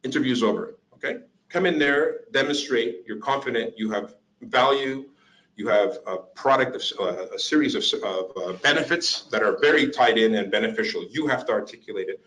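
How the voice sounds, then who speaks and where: A man giving a career workshop to job seekers, lively and joking as he acts out little interview scenes. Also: medium pitch, normal speed, rough recording.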